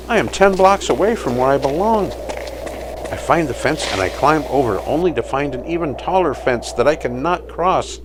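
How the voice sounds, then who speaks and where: A man playing a weary, sleepless night-shift guard, telling his story as a measured dramatic monologue in an audio play. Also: medium pitch, background noise, close to an everyday voice. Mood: startled, anxious. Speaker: narrator of a short audio play. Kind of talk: scripted first-person dramatic monologue.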